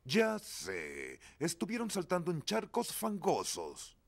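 Blustery, wildly animated cartoon daddy pig. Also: male voice, a little high, slow, gravelly, heavily acted. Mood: good-natured. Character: grumbly but good-natured daddy pig, adult male. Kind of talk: preschool cartoon dubbing.

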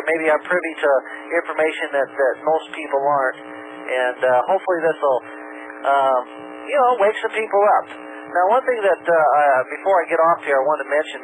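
Male radio caller, salesman type, earnest, stumbling delivery, slightly high voice, noisy old broadcast. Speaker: call-in precious metals dealer. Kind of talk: radio phone-in talk, sales pitch.

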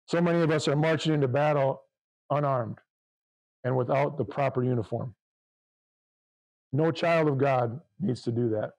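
A man delivers a sermon with a loud voice and fervent conviction. His tone is warm and mellow, and he reads scripture aloud to his congregation. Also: medium pitch, normal speed, pauses often, low-quality recording. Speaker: pastor.

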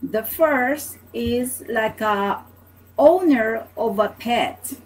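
A middle-aged woman from Venezuela with a Venezuelan Spanish accent, a native Spanish speaker teaching an online language lesson in a halting, hesitant way. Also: a little high, darkish tone, rough recording. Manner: halting, hesitant, repeats words. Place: small home office, indoors. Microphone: computer webcam at desk distance.

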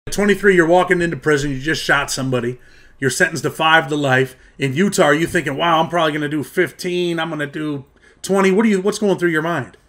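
A man's voice: a little high, fast, fairly bright tone. A man in his thirties hosting an interview over a video call, earnestly pressing home lessons for young viewers. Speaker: host. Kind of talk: interview questions and commentary. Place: indoors, plain room, video call.

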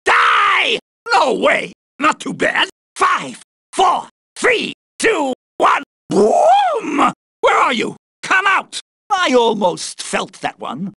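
An actress playing a taunting, sadistic boss fighter in a video game, with mocking, drawn-out delivery. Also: female voice, high-pitched, wildly swooping pitch, gravelly, sharp tone, no room sound, clean studio sound, heavily acted. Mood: aggressive.

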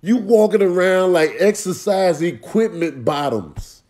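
A man's voice: high voice, lively intonation, measured pace, darkish tone. A man giving slangy, repetitive comic commentary over short clips. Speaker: comedian doing voiceovers.

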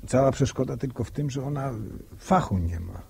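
A man's voice: medium pitch, animated, moderate pace, creaky, warm tone. An actor playing a modest, kindly, hesitant young countryman in a 1960s radio drama. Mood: thoughtful, warm. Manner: hesitant, repeats words, rural phrasing. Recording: noisy old 1960 radio recording.

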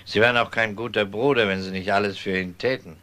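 A man playing a calm, unhurried police detective in a German crime radio drama, dry and politely ironic. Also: lowish voice. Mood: dryly firm.

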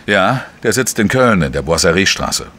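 An actor playing a world-weary, wry male investigative journalist, narrating a crime audio drama in a dry, measured way. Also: deep voice, sing-song, fairly bright tone, full character voice. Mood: brisk, instructing. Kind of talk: scripted first-person crime drama narration. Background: music.

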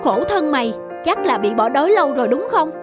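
A woman's voice: sits high, very animated, fairly quick, loud music behind the voice. A woman voicing a kind-hearted, earnest young village man in a fairy-tale cartoon. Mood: tender. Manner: earnest, pleading.

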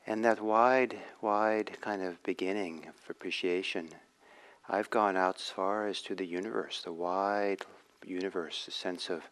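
A man leading a guided meditation as a teacher, speaking in a measured, reflective way with frequent pauses. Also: low-pitched, fairly even intonation, fairly bright tone.

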